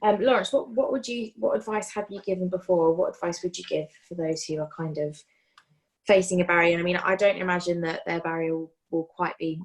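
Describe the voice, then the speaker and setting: A woman's voice: lowish voice, a little fast, poor audio. A woman hosting an online careers panel, asking questions and affirming the guests' answers. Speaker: host. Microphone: video call.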